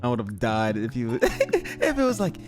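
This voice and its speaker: A man's voice: mid-range voice, huge pitch swings, normal speed, soft background music. A man doing casual comedic guest commentary, slangy and profane, drifting into tangents and amused by his own jokes. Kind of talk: casual rambling comedic chat.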